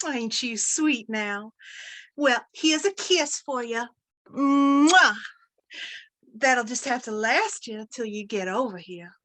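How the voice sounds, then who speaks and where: High, slow, sugary flirt played by a woman. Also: lively intonation, a bit bright. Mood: flirtatious. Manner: coy, drawling, cooing. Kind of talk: old-time radio comedy acting.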